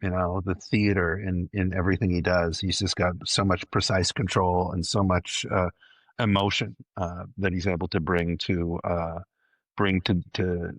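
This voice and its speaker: Deep-voiced, effusive man hosting a podcast. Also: little pitch movement, moderate pace, dry recording. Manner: effusive, emphatic, repeats words for emphasis. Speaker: podcast host. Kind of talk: casual fan discussion.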